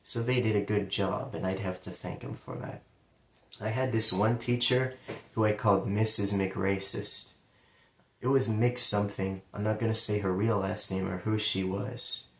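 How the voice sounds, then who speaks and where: Low-voiced man with an Ohio accent, roomy and distant. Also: fairly even intonation, moderate pace, vocal fry. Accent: central Ohio. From London, Ohio, USA. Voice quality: sometimes turns L into a W sound. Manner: casual, rambling, digressive. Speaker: vlogger telling his life story. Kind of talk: personal storytelling monologue.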